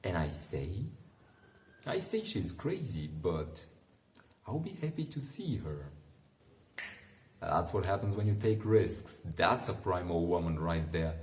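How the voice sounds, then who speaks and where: A man reading a bedtime book aloud in a relaxed, informal way, pausing to muse with casual asides. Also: deep voice, roomy sound, a little hiss or hum. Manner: unhurried, musing, informal. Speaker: bedtime story reader and commentator. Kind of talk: relaxed read-aloud with casual asides to help listeners sleep.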